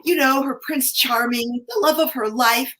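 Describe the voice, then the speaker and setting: A woman's voice: high voice, moderate pace, sharp tone. A woman playing a sweet, sentimental theater club member, gushing and dreamy, in a community staged reading.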